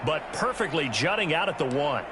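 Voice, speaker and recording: An American football play-by-play announcer for a video game. His voice sits a little high for a man, with an American accent. Very loud noise runs behind his calls. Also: very animated, moderate pace. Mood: brisk, engaged. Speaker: play-by-play sports commentator.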